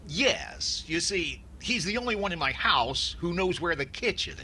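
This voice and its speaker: A man playing a fussy, penny-pinching, exasperated radio comedy star with deadpan, defensive delivery in classic sitcom style. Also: high voice, unhurried, full character voice. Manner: deadpan, put-upon, defensive. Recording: very noisy recording with ambience.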